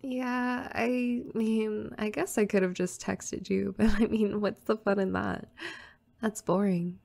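A woman playing a playful, teasing young girl next door in an intimate, casual roleplay audio, soft-spoken and giggly. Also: mid-range voice, fairly even intonation, slow, gravelly.

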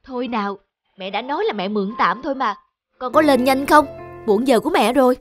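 A woman voicing a caring mother in a children's cartoon, explaining things gently. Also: high-pitched, a little fast, lightly acted. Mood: hushed, impatient. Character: caring mother of a young girl, sometimes hurried. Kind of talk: Vietnamese children's cartoon voice acting. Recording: music bed behind the voice.

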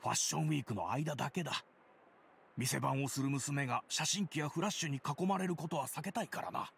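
An actor playing a weary, cautious older male business partner in a game, speaking in a measured, admonishing way. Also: on the high side, creaky, a little hiss or hum. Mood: weary, reluctant. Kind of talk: Japanese game voice acting.

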